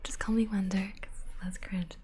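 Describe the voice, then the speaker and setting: A woman hosting an ASMR livestream, chatting softly to viewers in a breathy whisper with unhurried asides. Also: mid-range voice, flat delivery, slight background noise. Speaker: livestream host, ASMR artist.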